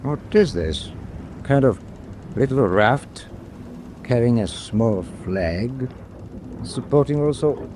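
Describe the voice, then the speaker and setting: An actor playing a stern, proud middle-aged German captain in a wartime radio drama, speaking in a clipped, deliberate, imperious way. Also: male voice, medium pitch, lively intonation, a little mellow, a little hiss or hum. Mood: suspicious, deliberate.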